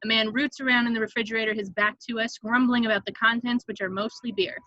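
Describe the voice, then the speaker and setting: High, bright woman reading stage directions. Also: fairly even intonation, fast talker, no echo, highs cut off. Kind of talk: scripted narration at a table read.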